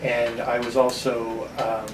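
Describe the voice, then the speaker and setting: A man's voice: medium pitch, completely flat delivery, slow pace. A man from upstate New York giving informal answers as a college department chair being interviewed. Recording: roomy echo, distant mic, background noise.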